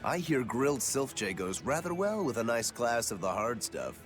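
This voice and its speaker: A man's voice: medium pitch, big pitch swings, moderate pace, soft background music, natural voice. A man playing an easygoing, joking adventurer in an anime-style video game, breezy, casual and teasing.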